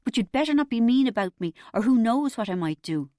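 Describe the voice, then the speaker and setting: A woman's voice: sits high, lively intonation, brisk, a little mellow, no echo, clean studio sound, voice pushed up. A woman voicing a sulky, indignant young female patient in an audiobook, with a rather childish manner. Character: self-pitying, sulky young woman in treatment. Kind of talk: audiobook character dialogue.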